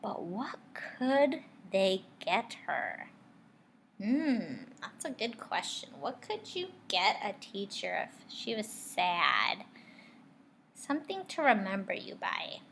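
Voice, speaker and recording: A woman teacher reading a picture book aloud to children, expressively, pausing to ask her young listeners guiding questions. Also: mid-range voice, sing-song, creaky, fairly bright tone, a little hiss or hum.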